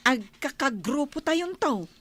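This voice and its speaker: A chatty village friend in a comedy radio play. She has an Ilocano accent and a high, very lively, bright voice. She sounds eager and gossipy. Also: slow, no echo, full character voice. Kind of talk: comedy radio drama acting.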